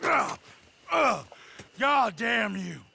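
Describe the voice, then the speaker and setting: A man's voice: very high voice, big pitch swings, extremely slow, creaky, sharp tone, slight background noise, full character voice. An actor playing a defiant, mocking horse thief villain in a Western game, his voice strained. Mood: defiant, furious. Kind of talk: game cutscene acting.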